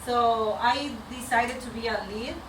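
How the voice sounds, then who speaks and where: A woman's voice: on the high side, steady tone. Venezuelan-accented woman speaking in an echoey room. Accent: Venezuelan Spanish. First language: Spanish. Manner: candid, halting, nervous. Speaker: community track lead speaker. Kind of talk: informal personal talk to a meetup audience. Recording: echoey noisy room, distant microphone.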